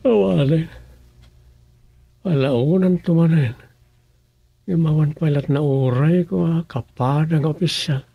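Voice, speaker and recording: A man with an Ilocano Filipino accent playing a musing, grumbling local man in a radio comedy drama, his voice husky and gravelly. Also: a little high, measured pace, pauses often, no room sound, narrow, tinny audio, heavily acted. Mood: muttering.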